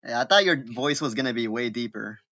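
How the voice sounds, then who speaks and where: Bright, slangy man swearing casually, lo-fi sound. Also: mid-range voice, animated, a little fast. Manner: slangy, foul-mouthed. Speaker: podcast host. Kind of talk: casual chat.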